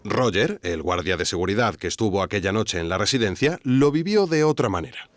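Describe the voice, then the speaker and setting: A man's voice: lowish voice, animated, a little fast, no room sound, natural voice. A man playing an earnest documentary podcast host, narrating in a dramatic, rhetorical scripted style. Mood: solemn, intrigued.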